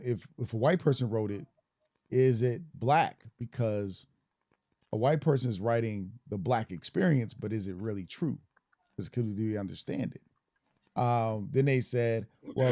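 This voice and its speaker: A man's voice: lowish voice, mellow, no room sound, studio quality. A man from Germany co-hosting a podcast, speaking in a reflective, storytelling way. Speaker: podcast co-host. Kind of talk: casual discussion.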